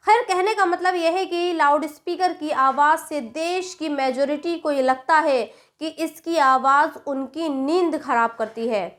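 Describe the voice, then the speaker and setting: A woman's voice: sits high, fairly bright tone. A woman presenting scripted political commentary, explaining things directly to the viewer. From India.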